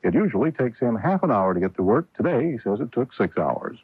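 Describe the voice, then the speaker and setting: A man's voice: mid-range voice, big pitch swings, fairly quick, slightly rough, dry recording. An American man reading a scripted radio newscast as anchor, heard on a dull old AM broadcast.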